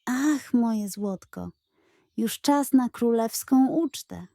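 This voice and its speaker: A woman's voice: a little high, expressive, slow pace, creaky, mellow, no echo, studio quality, full character voice. Woman voicing a sly, pompous greedy male pug. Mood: gloating. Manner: grandiose, mock-solemn, scheming. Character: sly greedy male pug posing as a solemn chicken ghost. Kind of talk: children's audio fairy tale.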